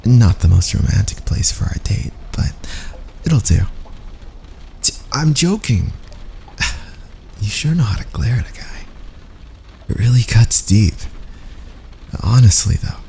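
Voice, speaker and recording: Male actor as a teasing young fantasy prisoner, intimate ASMR, low creaky voice, breathy playful asides, unhurried, faint background noise. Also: sing-song, dark tone, full character voice. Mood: pleading, warm. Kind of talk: intimate ASMR boyfriend roleplay.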